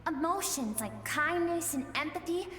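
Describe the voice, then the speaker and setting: A woman playing the gentle, earnest suppressed emotional self of a young warrior woman in a game, speaking with slow pleading. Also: very high voice, lively intonation, a little hiss or hum. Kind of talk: dramatic game voice acting. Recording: echoey, long reverb, music behind.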